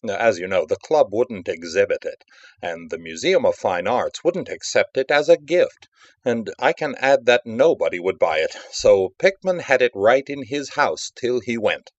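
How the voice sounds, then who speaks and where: A man's voice: lowish voice, expressive, normal speed, no echo, dull audio. A man playing a nervy, confiding art devotee who tells a horror story in an emphatic, conversational, theatrical way. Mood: uneasy, confiding. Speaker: audiobook narrator. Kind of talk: dramatic first-person story reading.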